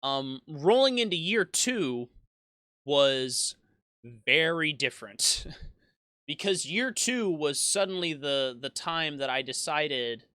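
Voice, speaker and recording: A young man in his twenties talks through his memories in a loose, rambling way, often restarting his sentences and poking fun at himself. His voice is bright and forward, and the sound is crisp and smooth. The recording is dry and close. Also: fairly high pitch, animated, slow, no room sound. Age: 20s. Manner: self-deprecating. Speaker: YouTube gaming creator. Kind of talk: casual reflective monologue.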